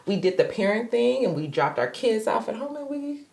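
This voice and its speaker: A woman in her thirties hosting a marriage podcast in casual, chatty conversation, her voice slightly raspy today. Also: fairly high pitch, sing-song, normal speed, a little mellow. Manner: chatty, upbeat, laughs easily. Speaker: podcast host, marriage therapist associate.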